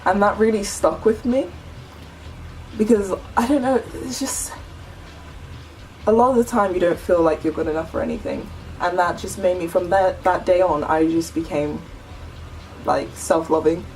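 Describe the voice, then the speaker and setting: A young woman with a low, deep voice talks earnestly and chattily to her camera, scattering "like" and "you know" through her sentences. She sits a little back from the mic. A faint hiss hangs under her words. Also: moderate pace, a little mellow, recorded from a distance, poor audio. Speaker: vlogger. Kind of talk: casual chat to camera.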